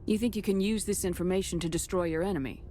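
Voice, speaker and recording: A woman playing a crisp, calm commander. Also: lowish voice, fast talker, a little hiss or hum, lightly acted. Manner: calm, direct and brief. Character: calm female military commander.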